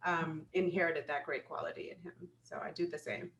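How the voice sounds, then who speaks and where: A woman being interviewed as a guest, telling lively stories and laughing often. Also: sits low, a little fast. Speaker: guest, business attorney. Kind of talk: casual interview chat. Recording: roomy with faint ambience.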